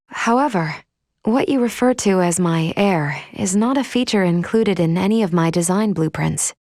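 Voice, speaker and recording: A woman playing a polite, earnest female android in a video game, speaking in formal, precise, measured phrases. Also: on the low side, a little mellow, no echo, clean studio sound, close to an everyday voice.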